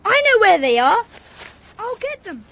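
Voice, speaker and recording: Bright, very high-voiced eager schoolboy. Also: female voice, big pitch swings, a little slow, exaggerated voice, rough recording. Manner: eager, exclamatory, commanding. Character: Peter, plucky bossy schoolboy, leader of a child detective club. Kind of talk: children's audio drama acting. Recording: faint background noise, cassette dramatization.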